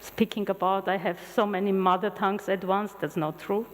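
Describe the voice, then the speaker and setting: A middle-aged woman whose first language is Armenian gives an open university lecture in English, telling stories with halting restarts. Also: mid-range voice. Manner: animated storytelling with halting restarts. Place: large lecture hall. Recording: long hall reverb.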